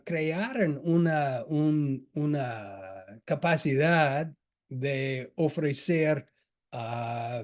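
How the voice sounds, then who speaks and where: Slow, halting lecturer with a mellow voice. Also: male voice, on the high side. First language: not Spanish. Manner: halting, many restarts and fillers. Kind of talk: academic lecture. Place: lecture hall.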